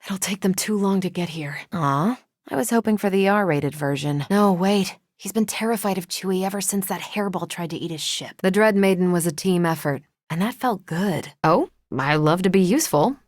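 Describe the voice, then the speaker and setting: A woman's voice: lowish voice, moderate pace, no echo, clean studio sound, lightly acted. A woman playing a confident, wry, tough superheroine soldier in a video game, with casual, self-assured banter. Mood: wry, teasing. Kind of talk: scripted video game voice acting.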